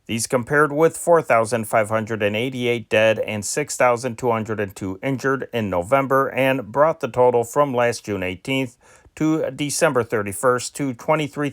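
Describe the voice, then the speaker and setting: A man reading old newspaper war dispatches aloud in a measured way, now and then stumbling and restarting a word. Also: medium pitch, no room sound, clean studio sound. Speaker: news reader.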